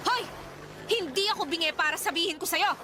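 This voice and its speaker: Radio drama casting: exasperated young mother, piercingly high voice, scolding and combative, noisy street scene. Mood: suspicious, alarmed. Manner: loud, scolding, rapid-fire rhetorical questions. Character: fierce, exasperated young mother scolding her irresponsible partner. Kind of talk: Tagalog radio drama acting. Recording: noisy street ambience with vehicles.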